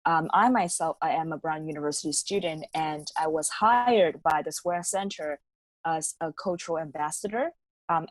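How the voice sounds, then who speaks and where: A woman's voice: low voice, no room sound, low-quality recording. A woman student and co-founder explaining how her youth network started, in a casual, explanatory way. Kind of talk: panel conversation.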